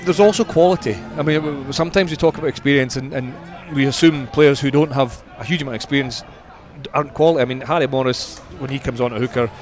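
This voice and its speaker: A man giving conversational sports punditry as a radio guest, measured and repeating words for emphasis. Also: medium pitch.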